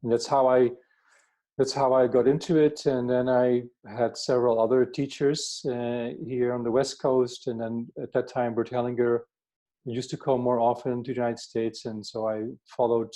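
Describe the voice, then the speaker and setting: An older man from the Netherlands with a Dutch accent, explaining his work reflectively and haltingly, often repeating words. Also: medium pitch, flat delivery, mellow. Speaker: workshop facilitator, therapist being interviewed. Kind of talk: reflective interview talk.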